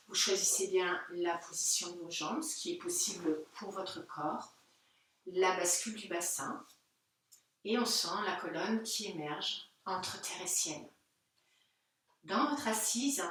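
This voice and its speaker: A woman teaching yoga, guiding a relaxation session gently and unhurriedly in short phrases with pauses. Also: on the low side, slight background noise. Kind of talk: guided Yoga Nidra relaxation instruction. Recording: very echoey room, distant microphone.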